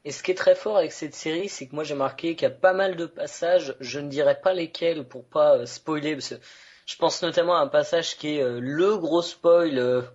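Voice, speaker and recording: An enthusiastic man hosts a casual podcast in a voice a touch above average pitch. He rambles eagerly with plenty of fillers and restarts. The sound is dull and lo-fi. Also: moderate pace, darkish tone. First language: French. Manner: enthusiastic, chatty, many fillers. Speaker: podcast host.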